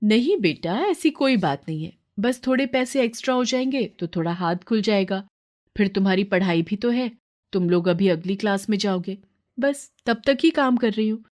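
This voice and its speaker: A woman voicing a humble poor mother who works as a maid, gentle, earnest and deferential, in a story. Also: mid-range voice, expressive, moderate pace, lightly acted. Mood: tender, reassuring.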